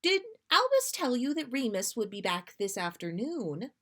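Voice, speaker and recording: A harried mother figure from a story, as a woman reader puts her on. She is pitched up, snappish and worried, yet kindly underneath. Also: big pitch swings, moderate pace, fairly bright tone. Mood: brisk.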